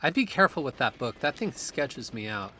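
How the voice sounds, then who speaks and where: An actor playing a laid-back, slightly wary young friend with a casual, dry manner in an audio drama. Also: male voice, medium pitch, big pitch swings, fairly quick, sharp tone, slight background noise.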